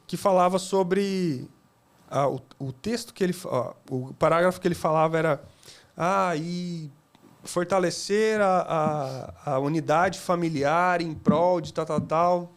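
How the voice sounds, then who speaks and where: Lively higher-voiced man telling stories. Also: unhurried. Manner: animated, laughing, acts out quotes. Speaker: podcast guest. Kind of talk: casual storytelling.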